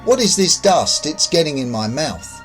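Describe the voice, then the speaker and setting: A man's voice: fairly high pitch, sing-song, normal speed, a bit bright, faint music behind. A man voicing a bewildered, skeptical man revived from death, blunt and questioning, in a narrated science fiction story. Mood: agitated, questioning.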